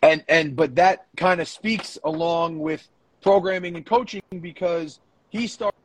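A man's voice: high voice, measured pace, a little hiss or hum. A man co-hosting a casual sports podcast as a coach, emphatic and given to swearing and repeating his phrases. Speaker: podcast co-host, powerlifting coach.

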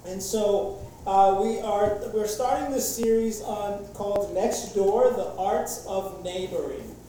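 A man's voice: sits high, slow, dark tone, a little hiss or hum. Man preaching in an echoey church. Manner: casual, jokey opening, many fillers and restarts. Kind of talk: sermon. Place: large echoey church hall. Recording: echoey church hall from far off.

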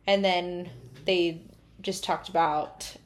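A heavily pregnant woman in her twenties hosting a podcast, talking casually and brightly with frequent laughs. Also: lowish voice, steady tone, slow pace. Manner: chatty, upbeat, giggly. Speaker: podcast host. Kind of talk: casual chat.

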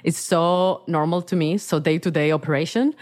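A woman's voice: on the low side, steady tone, normal speed, darkish tone. A woman co-host in casual chat, summing up and asking her friend questions. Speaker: podcast co-host.

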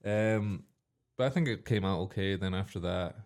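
A young man with a very low voice co-hosts a student radio show from the studio mic. He rambles, corrects himself and cracks jokes as he chats. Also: normal speed, darkish tone. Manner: rambling, self-correcting, joking. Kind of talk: casual student radio chat. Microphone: studio microphone.

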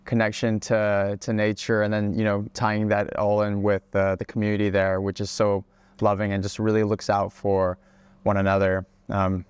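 A young man raised in Hawaii, a guest on a casual podcast interview, talking in a relaxed way with plenty of fillers and restarts. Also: lowish voice, moderate pace, clear, resonant tone. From Czech Republic, raised in Hawaii. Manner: relaxed, many fillers and restarts, laughs often. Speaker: guest, travel creator.

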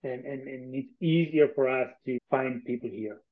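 An Argentine-accented man talks as an interview guest in a conversational, earnest way. His pitch sits in the middle for a man, and he leans on repeated phrases for emphasis. The audio is thin and narrow, like a phone call. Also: normal speed. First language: Spanish. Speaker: interview guest, tech company co-founder.

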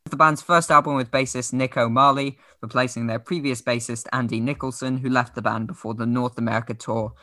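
A young man co-hosts a casual music podcast. His voice is bright and crisp-edged, recorded close and dry. He talks in a playful, jokey way, as if among friends. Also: medium pitch, fairly even intonation, a little fast, hardly any pauses, no room sound. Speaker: podcast co-host. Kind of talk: casual chat about music albums.

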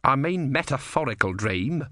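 Here is a man acting as an earnest inventor in a storybook, swept up in his own grand ideas. His pitch rises and roams with enthusiasm, in a close, dry recording. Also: lively intonation, moderate pace, fairly bright tone, dry recording, close to an everyday voice. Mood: enthralled. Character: eager male inventor, lost in lofty philosophical enthusiasm.

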